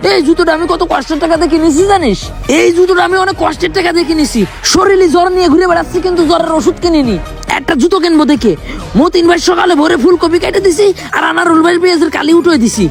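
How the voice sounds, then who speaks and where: A man's voice: very high voice, fast talker, gravelly, faint music behind. A man playing a touchy, thrifty young man in a comedy skit, fussy and aggrieved. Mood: defensive. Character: touchy, thrifty young man fussing over his new shoes.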